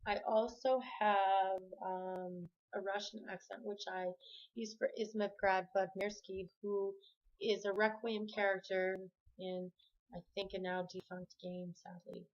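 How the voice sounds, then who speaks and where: A chatty woman talks to camera from her home, wandering through her thoughts and stopping to fix her words mid-sentence. Her voice sits at an ordinary middle pitch. The sound is dull and lo-fi, like a basic home video. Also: level tone, a little slow. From Canada. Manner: rambling, self-correcting, chatty. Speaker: vlogger. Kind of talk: casual talk to camera. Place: home room with the TV on.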